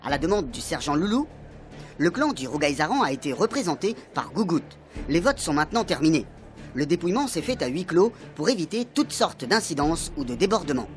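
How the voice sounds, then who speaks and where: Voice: medium pitch, big pitch swings, brisk, natural voice. Narrator role, for an actor: dramatic scripted radio-serial storytelling and announcements, epic tone, music bed behind. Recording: music under the voice.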